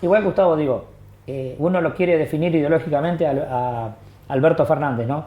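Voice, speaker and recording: A man with an Argentine accent hosting a TV political talk, who jumps in with quick questions and remarks. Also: on the high side. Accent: Argentine Spanish. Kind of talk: TV studio political discussion. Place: TV studio. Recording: echoey, slightly dull studio audio.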